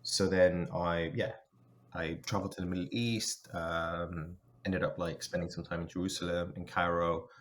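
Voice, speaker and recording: A man's voice: low voice, little pitch movement, moderate pace, a bit bright, a little hiss or hum. A man talking casually as a podcast guest about himself, thinking aloud as he goes. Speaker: podcast guest, software engineer and linguist. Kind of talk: casual conversational interview, telling own career story.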